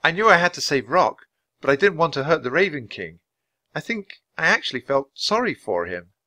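A young boy hero from a storybook, voiced by a man, earnest and insistent. His voice is lifted high and forceful. He comes across as anxious but brave. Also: big pitch swings, normal speed, no room sound. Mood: relieved.

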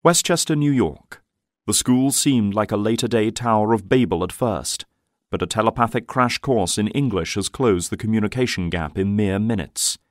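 A man's voice: fairly low pitch, normal speed, dry recording, studio quality. A man reading scripted dramatic narration as the storyteller of an audio drama.

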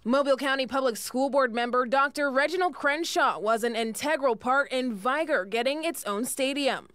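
High-voiced woman TV reporter. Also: moderate pace, a bit bright, no room sound. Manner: crisp reporter voice-over delivery. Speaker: TV news reporter. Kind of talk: scripted news report.

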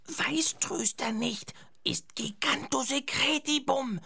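A woman playing an excitable little magic owl in a children's audio drama, speaking broken, quirky mishmash with invented words. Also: high-pitched, takes it slowly, creaky, heavily acted. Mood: alarmed, secretive. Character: excitable little blue owl comforter talking gibberish mishmash.

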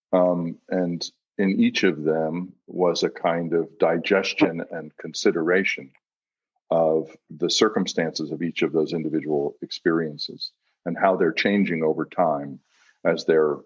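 Deep, husky American man. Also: highs cut off. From United States. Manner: hesitant, deliberate word choice. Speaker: panel guest. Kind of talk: reflective film Q&A talk.

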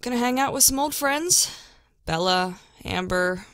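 A woman's voice: a little high, animated, a little slow, a bit bright, no echo, clean studio sound. A woman playing a laid-back young mother in an audio drama, talking casually. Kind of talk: podcast audio drama acting.

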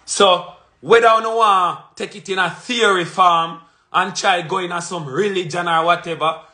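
Jamaican man in his thirties, home phone vlog, high forceful voice, confrontational. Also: fairly even intonation, a little slow. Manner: emphatic and confrontational, punches out lists and repeats phrases. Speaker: vlogger. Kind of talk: fiery monologue to camera. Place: small indoor room at home. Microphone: phone camera at arm's length.

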